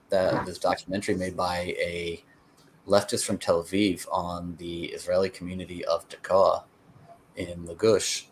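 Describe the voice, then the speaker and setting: Deep-voiced American man telling his story. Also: darkish tone, slight background noise, poor audio. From United States (Connecticut). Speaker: interview guest, peace activist. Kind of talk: conversational storytelling.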